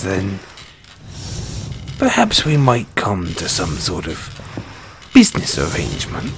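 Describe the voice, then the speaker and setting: A man playing a kind, gruff dwarf blacksmith and former adventurer in a fantasy audio roleplay, speaking in a folksy, avuncular way. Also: on the low side, a bit bright, full character voice. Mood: warm, businesslike. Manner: hesitant, folksy, avuncular, addresses listener as lad. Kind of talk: fantasy audio roleplay acting, second-person dialogue.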